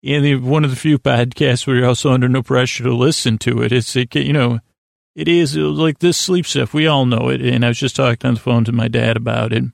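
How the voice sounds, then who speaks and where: Creaky-voiced, meandering American man. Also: medium pitch, steady tone, no echo, clean studio sound. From Syracuse, New York, United States. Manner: meandering, halting, stumbling over words, reassuring. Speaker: sleep podcast host. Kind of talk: rambling bedtime monologue, addressing the listener.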